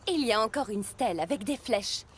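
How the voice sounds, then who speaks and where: A woman voicing a friendly scientist and explorer in a children's cartoon, animated, encouraging and explanatory. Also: a little high, fairly quick, heavy background noise, lightly acted. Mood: eager.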